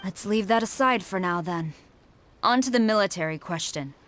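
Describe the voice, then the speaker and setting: A woman's voice: mid-range voice, animated, moderate pace, fairly bright tone, a little hiss or hum, close to an everyday voice. An actress playing a stern female military commander negotiating with formal, firm phrasing in a video game.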